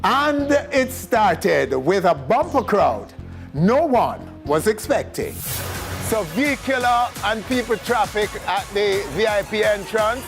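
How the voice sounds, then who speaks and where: A man's voice: high-pitched, sing-song, slow, soft background music. A man with a Jamaican accent presenting and reporting as the host of a broadcast show.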